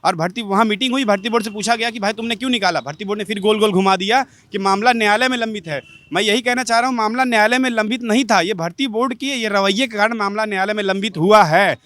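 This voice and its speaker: Fast, high-pitched young male protester. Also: bright, forceful tone, no echo. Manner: insistent, emphatic. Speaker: protesting job candidate. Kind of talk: street interview answer.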